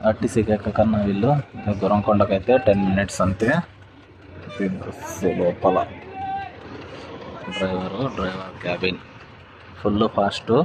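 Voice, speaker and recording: రాయలసీమ యాస, బస్ ప్రయాణంలో మాట్లాడే పురుషుడు. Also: male voice, deep voice, level tone, moderate pace, pauses often, a crowd in the background. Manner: chatty, addresses viewers as friends. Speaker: travel vlogger. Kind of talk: casual travel vlog narration. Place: on a bus and at bus stands, outdoors. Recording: phone audio with loud road noise.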